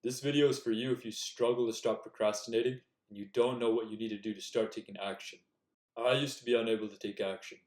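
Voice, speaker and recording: A young man giving earnest, direct self-improvement advice in a casual talk to the camera. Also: fairly low pitch. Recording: echoey room.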